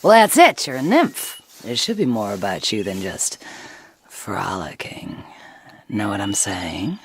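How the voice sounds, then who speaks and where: A brazen, worldly nymph of the wild, with a very low voice that leaps wildly in pitch. She draws out her words with breathy, bossy relish, in the style of a comic audio play. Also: big pitch swings, measured pace, a little hiss or hum, heavily acted. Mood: sultry, conspiratorial. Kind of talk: comedic audio drama acting.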